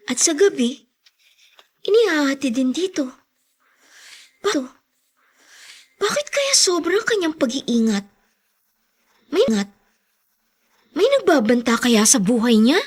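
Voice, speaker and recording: A watchful young housemaid in a Filipino radio serial. Her high voice is meek and hesitant, with defiance underneath. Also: heavily acted. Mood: wondering. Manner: polite, hesitant. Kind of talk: Filipino radio drama acting.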